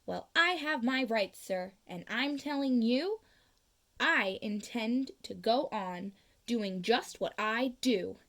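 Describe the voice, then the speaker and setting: A woman's voice: a little high, expressive, slow, a bit bright, heavily acted. A woman playing a greedy, defiant male industrialist from a storybook, blustering and self-justifying. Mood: angry, defiant. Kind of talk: storybook read-aloud.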